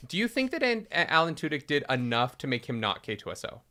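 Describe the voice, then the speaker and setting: A man co-hosting a casual movie spoiler chat, animated and joking, reading out viewer questions. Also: fairly high pitch, quick pace. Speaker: podcast co-host.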